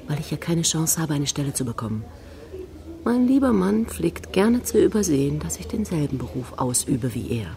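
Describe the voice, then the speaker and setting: An actress playing a forthright, flirtatious and discontented middle-aged academic's wife in a radio drama, wry and teasing. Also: female voice, low voice, big pitch swings, normal speed, warm tone. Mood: bitter, ironic. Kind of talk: naturalistic radio drama acting.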